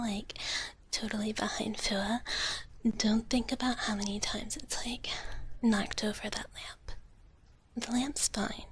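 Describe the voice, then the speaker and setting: A woman hosting an ASMR livestream in a soft, breathy whisper, with a playful manner of greeting and chatting. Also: medium pitch, slight background noise. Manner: playful, refers to self in third person. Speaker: livestream host, virtual streamer.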